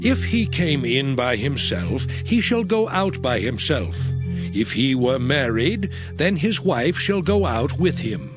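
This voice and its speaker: An actor playing a solemn biblical prophet and lawgiver, declaiming scripture in a measured dramatized reading. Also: male voice, mid-range voice, sing-song. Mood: measured, stern. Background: music.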